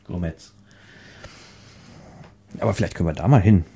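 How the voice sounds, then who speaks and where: A man chatting casually in a rambling, halting way, often repeating words as he searches for the next one. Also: deep voice, creaky, dark tone, slight background noise.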